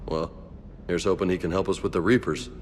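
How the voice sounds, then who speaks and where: A man's voice: sits low, fairly even intonation, a little fast, in a large hall, a little hiss or hum, natural voice. A man playing a brash, friendly soldier in a video game, blunt, joking and casual in his speech. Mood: upbeat, admiring. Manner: casual, slangy. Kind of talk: video game character dialogue.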